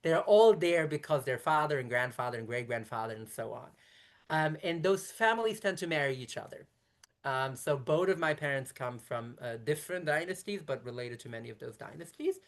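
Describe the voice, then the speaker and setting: A woman rabbi in her thirties from brooklyn, yiddish first language, talks fast in long explaining runs, keeps restarting and fixing her words mid-sentence. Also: very deep voice, expressive. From Brooklyn, New York. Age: 30s. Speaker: rabbi, interview guest. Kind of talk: conversational interview.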